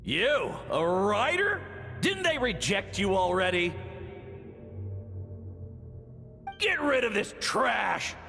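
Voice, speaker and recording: Harsh, high-pitched scolding man in cavernous reverb. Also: sing-song, takes it slowly, gravelly, sharp tone, heavily acted. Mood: contemptuous. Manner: berating, contemptuous. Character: harsh angry scolding man in a nightmare memory. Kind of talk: video game voice acting. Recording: long reverb, background music.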